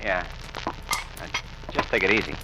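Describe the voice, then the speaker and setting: An actor playing a chatty, sympathetic morgue attendant in a folksy, casual 1940s radio acting style. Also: male voice, low voice, unhurried. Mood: casual sympathy. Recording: noisy old radio recording.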